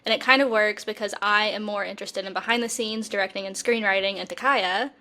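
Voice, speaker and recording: A woman's voice: mid-range voice, fairly even intonation, fast, sharp tone. A young woman co-hosting a podcast in casual, chatty conversation full of colloquial fangirl slang. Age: young adult. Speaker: podcast co-host.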